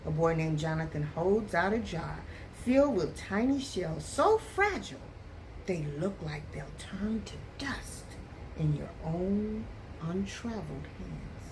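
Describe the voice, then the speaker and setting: A woman reading a picture book aloud as a teacher does for young students, with deliberate, expressive phrasing. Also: lowish voice, noisy background. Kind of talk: expressive read-aloud storytelling for children.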